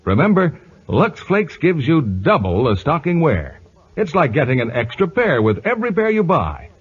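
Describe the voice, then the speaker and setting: Animated, high-pitched American radio announcer man. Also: normal speed, husky. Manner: polished, emphatic sales delivery. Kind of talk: 1950s radio announcing, scripted advertising. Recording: muffled vintage radio broadcast, music behind.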